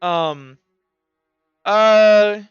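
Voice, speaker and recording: A man's voice: high-pitched, extremely slow, clean tone, dry recording, dull audio, soft background music, voice pushed up. A man voicing a blunt, hard-drinking male professor who swears and rambles with fillers. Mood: brash.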